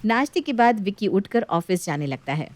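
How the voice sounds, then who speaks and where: A woman's voice: medium pitch, sing-song, moderate pace, a little mellow. A woman reading out scripted story narration as a storyteller.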